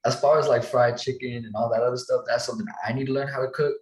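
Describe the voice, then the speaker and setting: A man's voice: medium pitch, steady tone, quick pace. A young man from southern Colorado hosting a podcast, laid-back and cursing casually in casual chat. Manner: laid-back, curses casually, short agreeing replies. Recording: echoey room.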